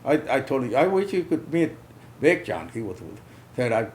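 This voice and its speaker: An older man from South Texas recalling his youth in a halting way, often repeating words. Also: medium pitch, sing-song, slight background noise. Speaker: interviewee, veteran. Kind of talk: casual recollection.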